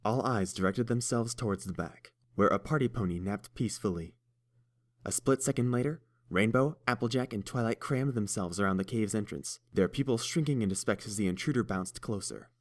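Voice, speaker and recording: A man narrating a fan-fiction storybook in a steady, measured way. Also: fairly low pitch. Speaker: audiobook reader.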